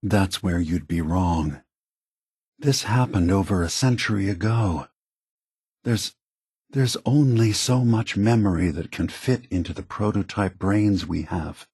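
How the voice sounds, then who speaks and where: An actor playing an ancient synthetic android leader in a video game, calm, sorrowful and earnest, with measured, deliberate delivery. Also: male voice, low-pitched, husky, dry recording, clean studio sound, exaggerated voice. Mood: grave, earnest. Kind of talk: scripted video game dialogue.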